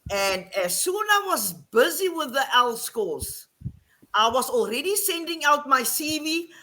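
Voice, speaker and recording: Bright-voiced Afrikaans-accented woman, unhurried. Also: sits high, slight background noise. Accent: Afrikaans South African. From Western Cape, South Africa. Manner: animated, repeats phrases, laughs often. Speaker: guest, online English teacher. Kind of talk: casual storytelling on a live video chat. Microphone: video call.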